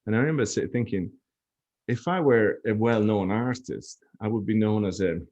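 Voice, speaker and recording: Low-voiced man, reflective and easygoing. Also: moderate pace, darkish tone, muffled recording. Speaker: podcast guest. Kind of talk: casual chat.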